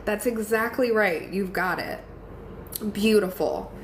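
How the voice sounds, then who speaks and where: Woman, stuffy nose, gravelly voice, affirming live-stream coach, echoey room with faint noise. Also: mid-range voice, slow. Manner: encouraging, affirming, chatty with fillers. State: congested from a cold. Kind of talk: casual live-stream talk reading viewer comments.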